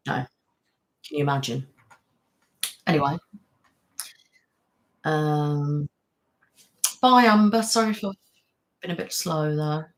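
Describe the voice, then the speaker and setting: A woman's voice: sits low, fairly even intonation, takes it slowly, pauses often. A woman hosting a casual live-stream chat, rambling, laughing often and thanking viewers by name. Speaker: ASMR channel host.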